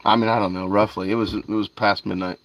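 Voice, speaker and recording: A man with a fairly low voice hosts a fishing livestream late at night. He talks in a relaxed, rambling way, reads out viewers' names and says "dude" often. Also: fast, rough recording. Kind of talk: casual livestream chat with viewers. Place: outdoors by a canal at night.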